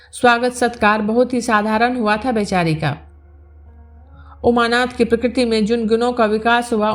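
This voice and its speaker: A woman narrates literary fiction as a storyteller. Her voice sits fairly high, and music plays behind her as she reads from the prepared text. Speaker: storyteller reading a novel aloud. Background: background music.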